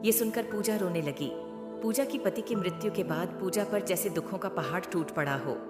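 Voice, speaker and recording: A woman's voice: mid-range voice, normal speed. A woman narrating a devotional moral story from a script. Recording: echoey, long reverb, music bed. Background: clear music.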